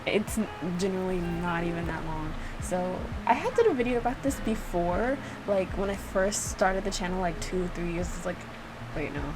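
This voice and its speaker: A woman's voice: on the low side, animated, normal speed, over music. A woman chatting casually in a playful, self-mocking way, like a YouTuber doing a reaction video. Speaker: YouTuber reacting to own visual novel. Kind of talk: casual rambling reaction commentary. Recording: clearly noisy room recording.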